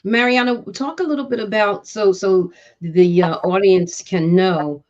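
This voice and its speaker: A woman co-host puts questions to a guest, stopping and restarting as she goes. Her audio is muffled and lo-fi. She talks at a middle pitch in a plain way. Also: a little slow, darkish tone. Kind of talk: casual panel talk. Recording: dull lo-fi audio.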